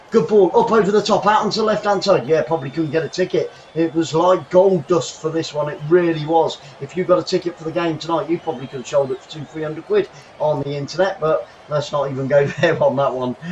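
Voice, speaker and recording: Man, high voice, live football watchalong, excitable, faint background hum. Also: muffled recording. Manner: excitable, rising to shouts on chances. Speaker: watchalong host. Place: home bedroom.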